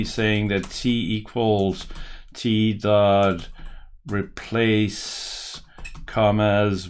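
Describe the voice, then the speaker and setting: A Danish-accented man in his forties, narrating a screen-share coding lesson from a home office. His voice sits slightly low, and he takes his time, thinking aloud as he types. Keyboard clicks fall in the gaps between phrases. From Denmark. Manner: thinking aloud while typing, self-correcting. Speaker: programming tutor.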